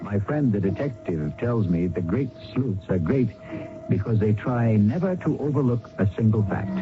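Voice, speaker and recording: A man hosting an old radio drama with theatrical, scripted storytelling. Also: lowish voice. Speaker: host. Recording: dull old radio recording. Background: music.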